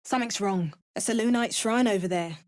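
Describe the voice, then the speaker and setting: A woman playing an adventurous, wry and watchful spellcaster in a video game, with crisp, measured delivery. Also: medium pitch, a little mellow, close to an everyday voice. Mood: watchful, musing. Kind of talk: scripted video game character lines.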